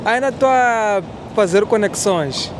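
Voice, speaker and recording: A man with a Mozambican Portuguese accent answers a street interviewer in short, calm phrases, repeating his points. His voice sits somewhat high for a man. Faint traffic noise surrounds him outdoors. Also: measured pace. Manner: brief, calm, repeats himself. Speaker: interviewee passerby.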